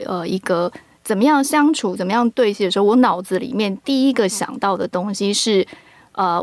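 A woman's voice: mid-range voice, gravelly, no room sound. A woman speaks candidly and explains at length, as a guest in a casual podcast interview. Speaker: audio drama producer and casting director, guest.

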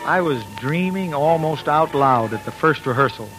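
A man plays an earnest, slightly boastful small-town youth in a vintage radio drama. His voice is fairly high, with folksy, wry storytelling, on an old recording with music. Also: lively intonation, normal speed, close to an everyday voice, rough recording. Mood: wry, nostalgic. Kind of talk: scripted narration, 1940s radio acting.

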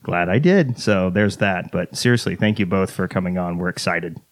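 A man's voice: low-pitched, moderate pace, no echo. A man co-hosting a podcast, telling stories in a loose, rambling way. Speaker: podcast co-host. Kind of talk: casual podcast chat.

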